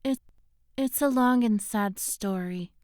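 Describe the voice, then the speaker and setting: A woman voicing a young succubus girl in an animated series, wistful and devoted, with a melodramatic, plaintive delivery. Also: a little high, slow, slight background noise. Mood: sorrowful, solemn. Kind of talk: animated web series voice acting. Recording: music behind the voice.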